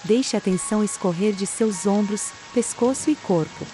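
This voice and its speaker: A woman with a Brazilian accent calmly guides a relaxation meditation, reading in a soothing, unhurried manner with pauses between phrases. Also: mid-range voice, faint music behind. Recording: steady rain sound behind the voice. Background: soft rain.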